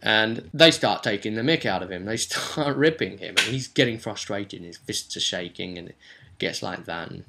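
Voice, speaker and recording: A man casually recapping a tabletop roleplaying session as game master, telling it in an animated storytelling way. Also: fairly low pitch, a little hiss or hum. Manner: animated storytelling, slipping into quick character quotes.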